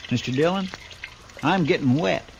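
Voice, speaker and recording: Anxious frontier sidekick, higher-pitched, noisy radio. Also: male voice, normal speed, gravelly, lo-fi sound. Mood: worried. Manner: folksy, deferential, worried. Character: anxious, loyal Old West marshal's sidekick, adult man. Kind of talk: old-time radio drama acting. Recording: noisy old radio broadcast recording.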